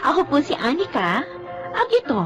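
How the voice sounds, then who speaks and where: A woman's voice: high-pitched, huge pitch swings, normal speed, music in the background. A woman playing an earnest, remorseful young woman in a radio drama. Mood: reflective. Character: earnest, remorseful young woman named Anika, reforming herself. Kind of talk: radio drama dialogue and reflective narration.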